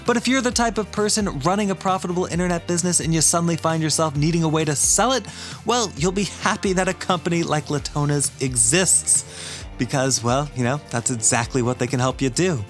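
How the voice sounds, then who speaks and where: A man hosting a podcast, giving a scripted introduction and ad read in a polished, measured way. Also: a little high, faint music behind. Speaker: podcast host, university teacher.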